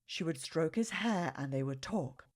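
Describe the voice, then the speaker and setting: A woman reading a children's story aloud with expressive, dramatic phrasing. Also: low voice, measured pace.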